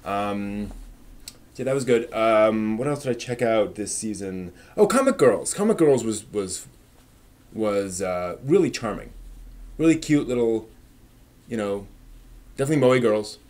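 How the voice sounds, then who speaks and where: A man hosting a casual live stream, replying to viewer comments with thinking pauses and firm, emphatic opinions. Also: on the low side, animated, a little mellow.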